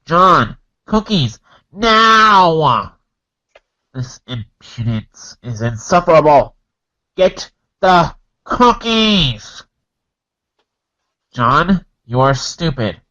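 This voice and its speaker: A man plays an imperious, exasperated commander in a dramatic reading, declaiming stilted orders very slowly. The voice is piercingly bright and swings in pitch, on a slightly dull home recording. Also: very animated, heavily acted. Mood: indignant, demanding.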